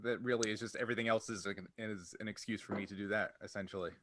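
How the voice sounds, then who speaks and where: A man's voice: fairly low pitch, fairly even intonation, fast, a bit bright, no room sound. A man chatting casually as a game master and host, with a hesitant, wry manner. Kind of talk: casual chat.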